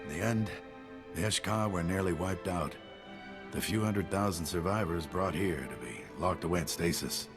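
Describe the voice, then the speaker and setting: An actor playing a gruff, battle-weary general with a clipped, wry military delivery in a video game cutscene. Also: male voice, deep voice, normal speed, over music, lightly acted. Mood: grim, resolute. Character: gruff, weary, wry male army general under siege.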